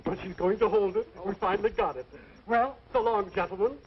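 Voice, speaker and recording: An actor playing a fussy, apologetic hotel clerk in an old-time radio comedy. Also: male voice, sits high, steady tone, brisk, breathy edge. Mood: eager, obliging. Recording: dull, noisy old radio recording.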